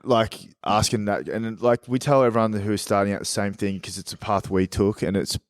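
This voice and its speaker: Casting: thirties male guest, easygoing, lower voice. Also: fairly even intonation, fairly quick. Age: 30s. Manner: laid-back, explains step by step, says man and dude. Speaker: guest, clothing label owner. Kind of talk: casual interview chat.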